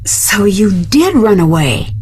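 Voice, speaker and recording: A woman's voice: medium pitch, big pitch swings, slow, darkish tone, slight background noise. An actress voicing an inquisitive, composed young female detective in a video game, asking direct, probing questions. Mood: earnest.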